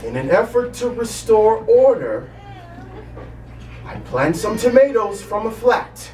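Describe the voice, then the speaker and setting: A man's voice: sits high, big pitch swings, takes it slowly. Man chanting poetry in a roomy cafe. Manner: loose, chatty between pieces, then chanting repeated words. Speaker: spoken word performer. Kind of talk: casual stage banter and poetry recitation. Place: small cafe music venue. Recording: roomy live recording from far off, loud music.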